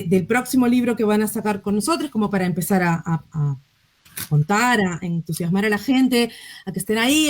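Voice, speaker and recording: Casting: easygoing Argentine woman moderator, mid-pitched, video-call sound. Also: darkish tone. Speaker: publisher hosting the presentation. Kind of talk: informal moderating.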